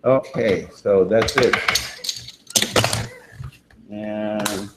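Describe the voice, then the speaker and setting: A man from Argentina, demonstrating a recipe in a casual, enthusiastic way while thinking out loud. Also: on the low side, fairly even intonation, drawn-out words, background noise. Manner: chatty and enthusiastic, thinking aloud with fillers. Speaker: cooking demonstrator.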